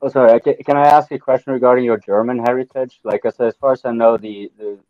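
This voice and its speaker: A man's voice: mid-range voice, steady tone, fast, no room sound. A man from Norway chatting on a video call, rambling with many fillers and restarts. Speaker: panelist. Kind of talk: casual video call chat. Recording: dry muffled call audio.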